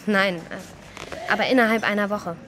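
A woman's voice: medium pitch, moderate pace, fairly bright tone, a little hiss or hum, lightly acted. An actress playing a frightened, indignant young woman in an audio drama, stammering hesitantly at times and speaking emphatically. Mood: indignant, chatty. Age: young adult.

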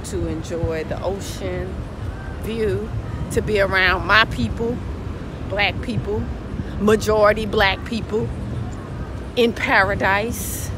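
A woman vlogging as she walks along an outdoor beach, talking slowly and earnestly into a handheld phone. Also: medium pitch, steady tone. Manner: slow, preaching and earnest. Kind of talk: walking vlog narration. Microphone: phone held while walking. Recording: loud wind noise on phone mic. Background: wind and waves.

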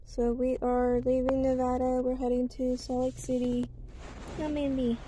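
A woman's voice: high-pitched, monotone, measured pace, warm tone. A woman casually narrating a road trip vlog from inside a car, filming on a handheld phone. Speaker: vlogger. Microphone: phone camera held in hand. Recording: car ride noise behind. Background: car engine and road noise.

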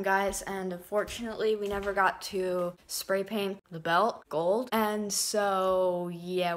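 A teenage girl rambling to her phone camera at home, her bright, slightly hoarse voice full of "like" fillers. She chats loosely and casually, the phone held at arm's length. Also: medium pitch. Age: teens. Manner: chatty, rambling, lots of "like" fillers. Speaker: vlogger. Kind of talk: casual vlog chat. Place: home living room, then kitchen, indoors.

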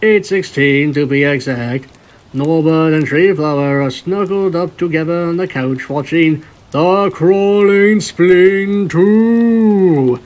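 A man's voice: fairly high pitch, a little mellow, poor audio. A man reading a scripted story aloud as its narrator. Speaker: narrator of a fan fiction reading. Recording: music bed behind the voice.

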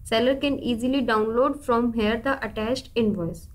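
A woman narrating a scripted, step-by-step software demo in an instructional, deliberate manner. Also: fairly high pitch, steady tone. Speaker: software tutorial presenter.

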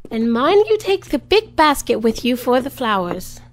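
A doting country wife in an audio drama. Her voice is high, and she gushes with admiration and flattery. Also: moderate pace, slight background noise. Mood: admiring. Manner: gushing, flattering. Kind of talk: theatrical audio drama acting.

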